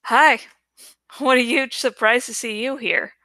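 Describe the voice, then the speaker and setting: A woman's voice: fairly high pitch, unhurried, sharp tone, no room sound. A woman voicing a confident, teasing teenage girl who gives orders to her friend in a storybook reading. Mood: feigned surprise. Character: teenage girl narrator-heroine, brisk, bossy, teasing friend.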